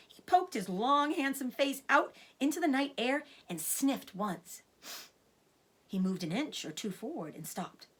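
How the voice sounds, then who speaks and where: A woman's voice: mid-range voice, a bit bright, husky. A woman reading a children's story aloud like a teacher, with dramatic, suspenseful narration.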